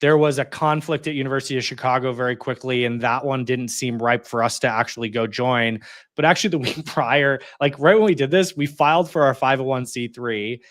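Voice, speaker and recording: Here is a man in his thirties speaking as an interview guest. He talks casually and chattily, filling his sentences with "like" and "you know" and breaking into quick laughs, at a middle pitch. The recording is dry and close, with no room sound. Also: fairly bright tone, no room sound. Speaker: interview guest, community organizer. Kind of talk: casual conversational storytelling.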